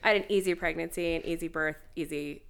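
A woman's voice: fairly low pitch, steady tone, quick pace, gravelly, clean tone. A woman in her late thirties, talking bluntly and casually on a podcast, swearing now and then and laughing easily. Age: 30s. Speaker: podcast co-host. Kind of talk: casual chat.

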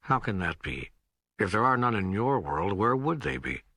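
A man playing an earnest, troubled seeker who questions God in an audiobook dialogue, with a raspy voice. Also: lowish voice, big pitch swings, moderate pace, sharp tone, dry recording, clean studio sound, exaggerated voice. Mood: puzzled, insistent. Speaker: audiobook narrator. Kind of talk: scripted audiobook dialogue reading.

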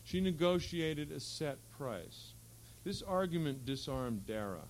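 A man reading literary fiction aloud at a live event, with a measured, articulate storytelling delivery. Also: on the high side, big pitch swings, poor audio. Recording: faint hum and background noise.

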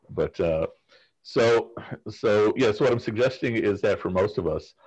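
A man's voice: sits low, darkish tone, breathy edge, muffled recording. A man leading a class discussion as professor over a video call, asking students questions in a casual, conversational way. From United States.

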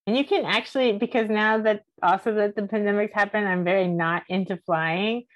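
A woman's voice: mid-range voice, fairly even intonation, a little fast, dry recording. A woman hosting a casual, chatty conversation in an informal, enthusiastic way. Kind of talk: casual chat.

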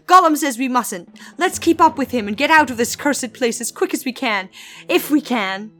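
A frightened young hobbit lad, read by a woman, pitched up high and pressing in an anxious, urgent whisper. The tone is bright and a little strained. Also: lively intonation, normal speed, soft background music. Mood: pleading.

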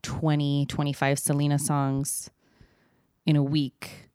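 A woman's voice: deep voice, completely flat delivery, slow, warm tone, smooth, no room sound, clean studio sound. A woman in her thirties, a singer, telling candid stories about her gigs in a casual chat, with lots of fillers and easy laughs. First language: not Spanish; sings in Spanish. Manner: chatty, candid, laughs easily, many fillers. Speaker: singer and podcast co-host.

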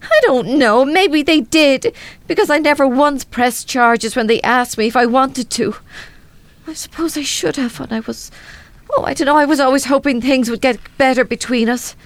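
A woman's voice: high-pitched, moderate pace, no room sound. An actress playing an anxious, worn-down, self-doubting mother in a radio drama. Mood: anxious despair. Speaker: radio play actor. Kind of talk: live radio drama acting.

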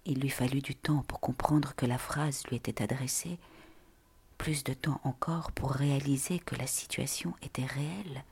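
A woman reading literary texts in a breathy, whispery voice with deliberate, measured phrasing. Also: a bass voice, steady tone, darkish tone.

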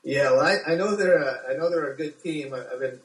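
A middle-aged American man answering interview questions as a doctor, speaking haltingly with repeated words. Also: on the high side. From United States. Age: 40s. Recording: echoey room, distant from microphone.

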